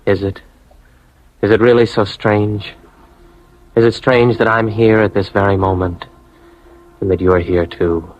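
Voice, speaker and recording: A man's voice: fairly low pitch, fairly even intonation, a little slow, long pauses, muffled recording, natural voice. An actor playing an earnest young advertising man in a radio drama, with an American accent, sometimes narrating his own story. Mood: tender, romantic. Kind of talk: old-time radio drama acting, first-person narration.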